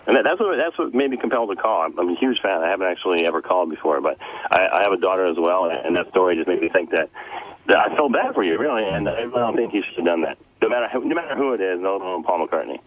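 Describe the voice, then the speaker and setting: A man with a low voice calls in to a radio show over a narrow, tinny phone line. He talks quickly and earnestly in a casual way. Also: animated. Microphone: telephone. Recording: thin, band-limited phone line.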